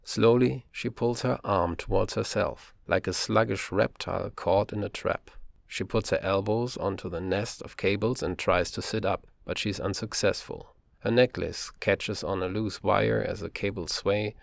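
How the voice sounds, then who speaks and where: A man reading an audiobook in a calm, measured way. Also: on the low side, gravelly, no echo. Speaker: audiobook narrator. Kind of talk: audiobook narration.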